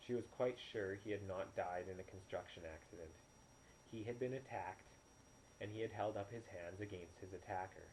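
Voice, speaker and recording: A man's voice: low voice, moderate pace, darkish tone. A man reading a novel aloud as an amateur storyteller in audiobook style. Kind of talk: audiobook narration. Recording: noisy, poor-quality home recording.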